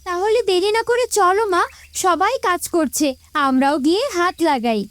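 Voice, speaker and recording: A woman voicing an earnest, kind-hearted village child in an animated moral story. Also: very high voice, normal speed, no room sound. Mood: eager, earnest.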